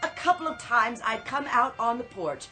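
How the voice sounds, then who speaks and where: An actress playing a suspicious, gossiping neighbor in a radio drama, a hesitant accuser who pauses dramatically. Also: female voice, fairly high pitch, sing-song, sharp tone. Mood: insinuating. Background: background music.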